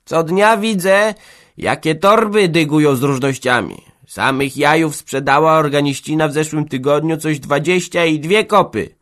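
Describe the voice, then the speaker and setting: A man voicing a sharp-tongued old village woman who gossips and mocks, in a storybook reading. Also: a little high, moderate pace, voice pushed up, natural voice. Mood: scornful. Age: older. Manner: mocking, biting.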